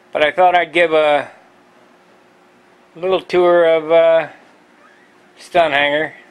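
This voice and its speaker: A man giving a casual, rambling tour of his home model-airplane workshop, halting with fillers and clearing his throat, recorded on a handheld camera. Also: fairly high pitch, little pitch movement, rough recording. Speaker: model airplane builder, channel host. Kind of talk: casual shop tour vlog. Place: cluttered home hobby workshop, indoors.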